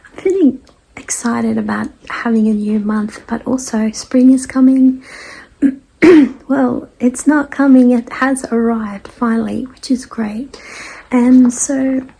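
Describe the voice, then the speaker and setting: A woman with a dry, croaky voice, getting over a cold and clearing her throat, chats casually to followers as host of a weekly show in an unhurried, hesitant, rambling way. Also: on the high side, steady tone, mellow, rough recording. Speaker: artist hosting a weekly message show. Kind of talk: casual vlog chat to followers.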